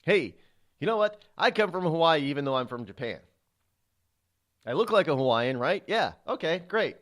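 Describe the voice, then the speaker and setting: A man's voice: a little high, sing-song, moderate pace. A middle-aged man hosting a talk radio show, delivering an indignant, rhetorical monologue with frequent stumbles and fillers.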